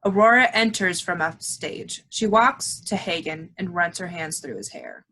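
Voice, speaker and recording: A woman's voice: fairly low pitch, lively intonation, measured pace, sharp tone, poor audio. A woman reading the scripted stage directions of a play, with some background noise.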